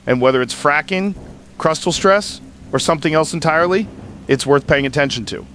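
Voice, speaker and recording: A man's voice: on the high side, a little fast, a bit bright, a little hiss or hum, natural voice. Inquisitive everyman podcast host played by a man. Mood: intrigued. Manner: conversational, inquisitive. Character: curious, open-minded male podcast host, everyman questioner. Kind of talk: casual late-night talk show in an audio drama.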